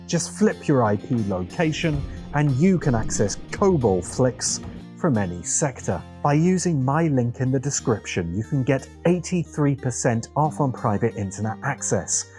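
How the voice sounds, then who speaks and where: A man narrating a scripted video essay in a measured, dramatic way, with deliberate phrasing and pauses. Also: mid-range voice, big pitch swings, dark tone. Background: background music.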